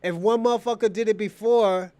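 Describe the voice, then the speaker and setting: A man's voice: high-pitched, fairly even intonation, brisk, dark tone, no room sound. A man hosting a casual livestream, talking off the cuff in an opinionated, rambling way and repeating phrases. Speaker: live stream show host.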